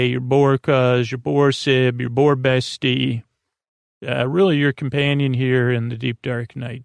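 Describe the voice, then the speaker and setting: A man with a creaky, gravelly voice rambles through a bedtime podcast monologue, halting, repeating himself and trailing off as host. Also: mid-range voice, no room sound. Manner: meandering, halting, repetitive, trailing off.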